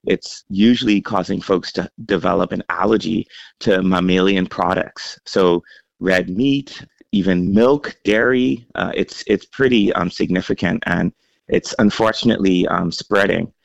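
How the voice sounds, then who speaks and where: A man from the Bahamas, a health center chief executive, speaking earnestly in a radio feature with frequent pauses and ums. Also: low voice, gravelly, dry recording, muffled recording. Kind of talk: radio interview answers.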